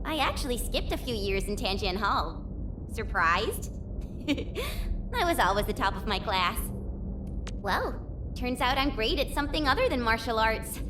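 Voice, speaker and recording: A young woman playing an eager, cocky martial-arts sword fighter in a video game, with a peppy, bold, playfully boastful manner. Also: high-pitched. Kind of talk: video game character voice lines.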